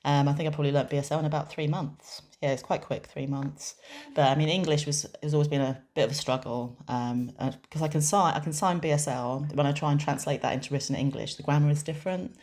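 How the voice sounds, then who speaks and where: A woman with a strikingly deep voice gives interpreted interview answers in the first person. She speaks in a measured way, pausing often and restarting her phrases. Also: fairly even intonation, darkish tone. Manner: measured, with frequent pauses and restarts.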